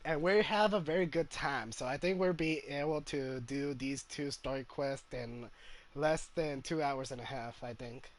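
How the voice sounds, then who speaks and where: A man's voice: mid-range voice, normal speed, a little hiss or hum. A man giving casual, musing and hesitant commentary as he streams a game playthrough. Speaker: gaming streamer. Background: game music.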